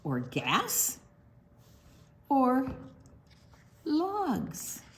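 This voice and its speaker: A woman's voice: high-pitched, wildly swooping pitch, extremely slow, a bit bright. An older woman reading a picture book aloud to young children, drawing out words expressively and adding explanatory asides. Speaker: storytime reader.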